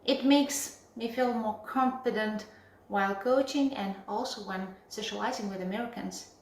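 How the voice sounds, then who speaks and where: A woman's voice: mid-range voice, normal speed. Woman exec coach, earnest testimonial, roomy echo and a bit of hiss. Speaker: executive coach. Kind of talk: video testimonial. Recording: echoey room, faint background noise.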